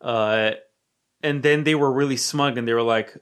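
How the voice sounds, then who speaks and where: A young man from Romania with an American accent, telling a personal story in casual chat. He talks animatedly, swears freely and drops to a low murmur when quoting his own thoughts. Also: moderate pace, a bit bright, clean tone.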